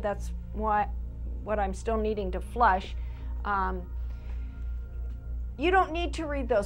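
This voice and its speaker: A forty-nine-year-old woman hosting her own show, speaking to the camera in an earnest, halting way with frequent restarts. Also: medium pitch. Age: 40s. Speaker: show creator and host. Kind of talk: personal address to camera.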